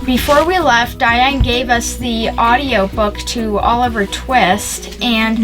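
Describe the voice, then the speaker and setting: A woman's voice: a little high, fairly even intonation, slow pace, music in the background. A woman talking casually as a presenter in a vlog.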